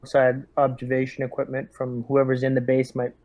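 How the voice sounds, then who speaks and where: A man playing a squad leader in a tabletop game, giving orders and asking questions in a measured, businesslike way. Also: medium pitch, completely flat delivery, a little mellow, no room sound, lo-fi sound. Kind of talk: casual in-character orders and questions.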